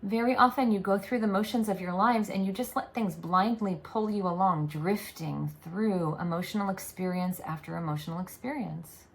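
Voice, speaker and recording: Woman, spiritual channeler, soothing and deliberate, gravelly fry, mid pitch. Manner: slow, deliberate, soothing delivery; speaks as a collective "we".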